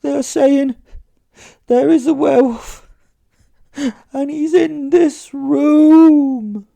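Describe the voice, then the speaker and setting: A stage psychic in a horror audio drama, haunted and scared out of his wits. The voice is pushed high and very loud, breaking into tearful, stammered repeats. It sounds close and dry. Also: very slow, vocal fry, no room sound, exaggerated voice. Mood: terrified. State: crying, distressed.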